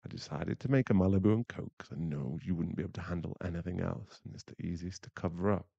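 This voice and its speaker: A young man playing a teasing, bashful bad-boy werewolf boyfriend in an intimate ASMR roleplay, halting and murmured. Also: low voice, gravelly, mellow, breathy edge, dry recording, muffled recording, heavily acted. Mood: teasing, concerned. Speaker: voice actor in an audio roleplay.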